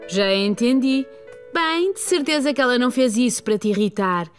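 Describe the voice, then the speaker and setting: A woman's voice: on the high side, expressive, moderate pace, natural voice. A woman voicing a patient, caring mother with a reassuring manner and European Portuguese accent in a children's cartoon. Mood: gentle concern. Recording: faint music behind the voice.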